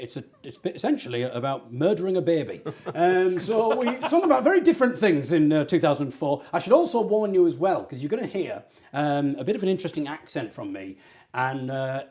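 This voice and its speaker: A man's voice: a little high, mellow. A man, hosting a jokey online show and telling stories about his band while laughing at himself. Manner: self-mocking, laughing often. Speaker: host, folk singer. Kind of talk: jokey livestream chat.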